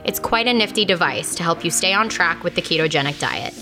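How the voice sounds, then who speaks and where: A woman's voice: lowish voice, lively intonation, brisk, bright, forceful tone, soft background music. A woman reading a scripted sponsor message in an upbeat, friendly way. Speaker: ad reader.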